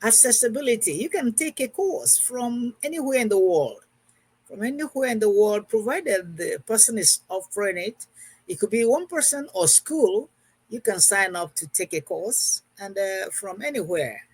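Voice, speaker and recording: A woman's voice: mid-range voice, expressive, normal speed, a little mellow, husky, no room sound, low-quality recording. A woman in her sixties, a math teacher giving an informal recorded lesson, who keeps repeating and rephrasing her points. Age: 60s.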